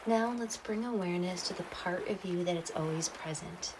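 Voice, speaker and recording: A woman narrating a guided meditation in a soothing, instructional way, leaving pauses between short phrases. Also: on the low side, slight background noise, low-quality recording.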